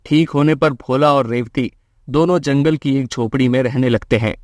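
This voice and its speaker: A man narrates a scripted moral story for children. His voice has an average male pitch, and the recording is dry, with hardly any room sound. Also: fairly even intonation, normal speed, darkish tone, no echo.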